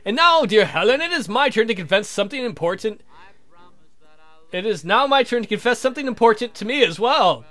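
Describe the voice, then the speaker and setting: A man putting on a pompous, high-pitched vampire count. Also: animated, a little fast, pushed and bright. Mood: formal, dry. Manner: formal, courtly, pompous. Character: suave, haughty aristocratic male vampire count. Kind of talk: theatrical visual novel character reading.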